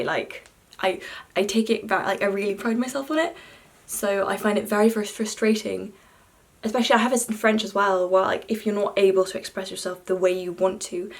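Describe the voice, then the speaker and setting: A young woman from Belgium, chatting in an unscripted vlog, talking fast with lots of fillers. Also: medium pitch. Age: young adult. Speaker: vlogger.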